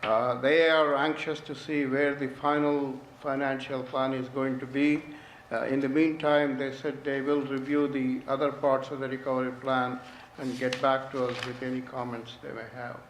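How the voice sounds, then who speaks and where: A man's voice: medium pitch, flat delivery, normal speed. A man on staff gives a formal status report to a board. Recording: echoey meeting room, faint noise.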